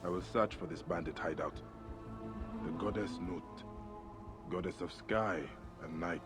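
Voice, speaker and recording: Low-voiced stoic assassin. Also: male voice, loud music behind the voice. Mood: musing. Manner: measured, terse, earnest. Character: stoic, determined male assassin on a desert quest. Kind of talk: video game character acting.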